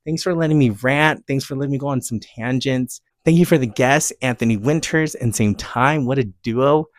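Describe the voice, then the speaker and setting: A man's voice: mid-range voice, normal speed, dry recording, clean studio sound. An American man hosting a podcast, talking casually and emphatically in a gushing, rambling way. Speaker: podcast host. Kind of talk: casual chatty solo podcast talk, music recommendations and rants.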